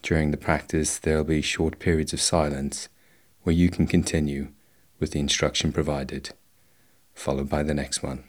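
A man guiding a meditation in a slow, measured, instructional way, leaving long pauses between phrases. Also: extremely low pitch, little pitch movement. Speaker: meditation guide. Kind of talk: guided body scan meditation.

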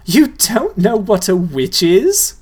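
A man's voice: high-pitched, big pitch swings, unhurried, voice pushed up, exaggerated voice. A man voicing a bossy young girl who talks down to her friend, in a storybook reading.

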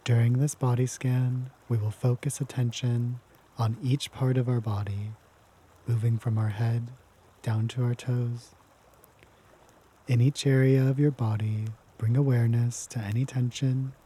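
A man leads a guided meditation in a gentle, unhurried manner, leaving long pauses between phrases. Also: mid-range voice, steady tone, slightly rough. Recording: music behind the voice. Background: prominent sound bath instrumental music.

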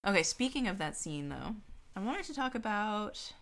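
A woman's voice: medium pitch, very animated, normal speed, fairly bright tone. A woman co-hosting a casual book discussion, talking informally and questioning things as she thinks aloud. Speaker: podcast co-host. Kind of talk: casual chat discussing books.

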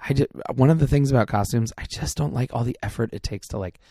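A man co-hosting a podcast in casual chat, relaxed, teasing and joking. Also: mid-range voice, fast, breathy edge, dry recording, clean studio sound. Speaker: podcast co-host.

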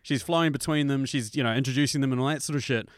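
A young man with a New Zealand accent in casual chat, rambling, animated and swearing freely. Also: medium pitch, rapid-fire, dry recording, studio quality.

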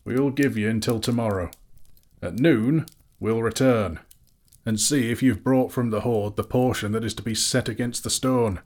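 A man's voice: medium pitch, darkish tone, pitched above the performer's own voice, close to an everyday voice. Man playing a stern leader, voice raised. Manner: firm, measured, resolute. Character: stern, upright male human leader, firm negotiator. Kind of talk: dramatic storybook reading.

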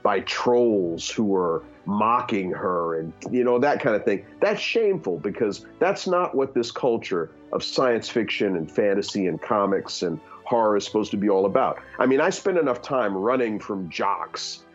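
Earnest fifty-something man, halting and heartfelt. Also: lowish voice, expressive, dull audio, faint music behind. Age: 50s. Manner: earnest, hesitant restarts, many fillers. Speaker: guest. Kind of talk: candid conversational opinion.

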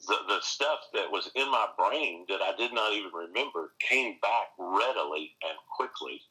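A low-pitched man with a forceful, slightly husky voice, speaking as a pastor in an interview. He talks earnestly and keeps restarting his sentences, then leans hard into his key points. The delivery sounds like an unscripted conversation. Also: normal speed, rough recording. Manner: earnest, many restarts, animated emphasis. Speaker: pastor and seminary teacher.

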